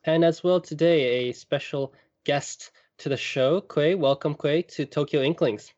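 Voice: mid-range voice, measured pace, darkish tone. A podcast host who opens the show and interviews a guest casually, with frequent fillers. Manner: friendly, with frequent fillers and backchannel murmurs. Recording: dull remote recording.

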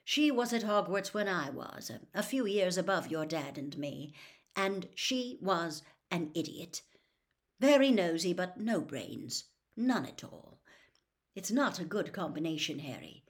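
A woman voicing a troubled adult male wizard who gives earnest, hurried warnings in a storybook reading. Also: fairly low pitch, very animated. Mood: urgent.

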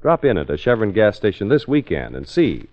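A man's voice: on the low side, big pitch swings, fairly quick. A man with an American accent announcing in 1940s radio style, reading scripted narration and sponsor copy. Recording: muffled vintage radio recording.

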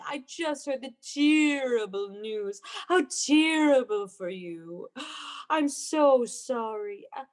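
Actress as a high-pitched, unhinged obsessive young woman. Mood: sweetly sympathetic. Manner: theatrical, breathless, intense. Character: obsessive, unhinged young woman convinced of her dark power. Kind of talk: melodramatic old-time radio play acting.

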